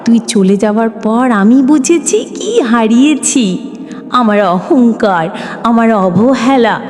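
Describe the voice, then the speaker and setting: A woman's voice: on the high side, animated, slow pace, darkish tone. A woman reading the storybook lines of a regretful young man mourning a lost friendship, in a solemn, remorseful way. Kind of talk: storybook dialogue. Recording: long reverb, music underneath.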